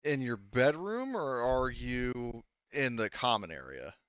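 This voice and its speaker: A man speaking casually and haltingly as game master, explaining and narrating a tabletop roleplaying game. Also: mid-range voice, very animated, fairly bright tone, clean tone, no room sound, lo-fi sound.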